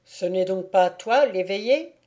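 A woman's voice: medium pitch, expressive, unhurried, warm tone, close to an everyday voice. A woman voicing a huge male stranger who is scornful and commanding.

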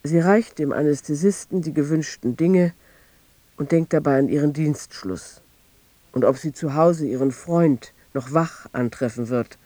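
A man an actor narrates a radio drama in a mellow, fairly light-pitched voice. He is measured and detached, recorded very dry, like someone calmly reporting on strangers. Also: no room sound, lightly acted. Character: detached male narrator noting times, places and people.

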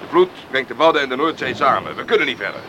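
A male actor playing a gruff, defensive lifeboat skipper in a radio play, blunt and quick to anger. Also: medium pitch, lively intonation, crowd noise, close to an everyday voice. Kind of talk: radio drama acting. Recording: clear wind and sea noise.

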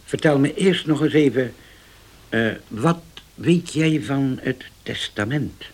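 An actor in a Dutch radio play as a scheming older uncle, husky, halting and condescending. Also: male voice, medium pitch. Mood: deliberate, narrating. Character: scheming older uncle, pious-sounding, domineering and deliberate.

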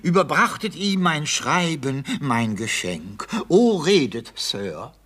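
A dry theatre man in an old radio comedy. The delivery is slow and measured yet rises and falls widely, slipping between stagey verse and halting objections. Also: a little high, big pitch swings, a bit bright, heavily acted. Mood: reading a part. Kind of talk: 1960s German radio comedy acting.

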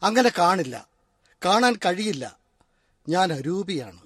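A high, slow, calm inner conscience. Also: male voice, slightly rough, no echo, studio quality, exaggerated voice. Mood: soothing. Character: invisible male conscience, calm and reassuring. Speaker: conscience in a radio play. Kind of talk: Malayalam radio drama acting. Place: radio studio.

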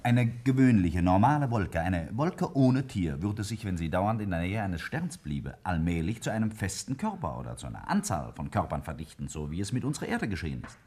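A man's voice: sits low, very animated, fairly quick, hardly any pauses, a little mellow, breathy edge, exaggerated voice. A man acting a self-assured scientist in a 1950s German radio play, arguing didactically and emphatically with slight irony. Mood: confident, lecturing. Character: Kingsley, self-assured male scientist arguing a bold theory.

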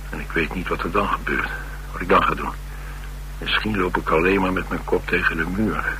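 An actor with a Netherlands Dutch accent playing a troubled, blunt ex-soldier and restless drifter in a radio drama, wry and halting in delivery. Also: male voice, deep voice, fairly even intonation, pushed and bright, low-quality recording. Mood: troubled, uneasy. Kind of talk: radio drama dialogue acting. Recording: radio drama with clear hiss and hum.

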